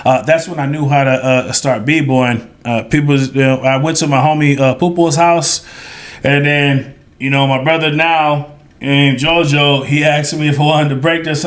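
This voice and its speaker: A man's voice: a little high, fairly bright tone. A man in his thirties, a podcast guest, telling a story in a rambling way with many fillers and restarts. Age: 30s. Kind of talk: casual storytelling.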